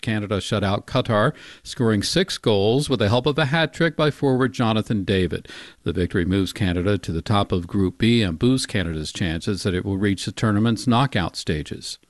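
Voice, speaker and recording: Low-pitched man reading the news. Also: normal speed, no echo, clean studio sound. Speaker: news anchor.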